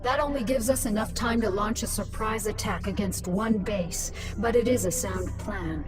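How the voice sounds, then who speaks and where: A woman's voice: mid-range voice, normal speed, recorded from a distance, music in the background, lightly acted. An actress playing a ruthless, scheming alien queen who speaks in a menacing, mocking, commanding way in a video game. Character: ruthless, scheming alien swarm queen, once human, cold and gloating. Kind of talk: dramatic video game voice acting.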